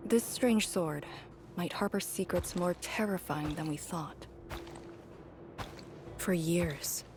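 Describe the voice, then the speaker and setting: A woman as a slow, solemn warrior woman. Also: fairly low pitch, background noise, close to an everyday voice. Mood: serious, pondering. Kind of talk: video game voice acting.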